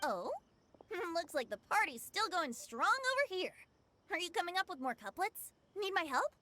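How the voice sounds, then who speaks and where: A breezy young male bard from a game. The voice is high, boyish and bright, swinging lively through its lines with playful, theatrical teasing. Faint ambient noise sits behind it. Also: moderate pace, exaggerated voice. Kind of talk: video game voice acting.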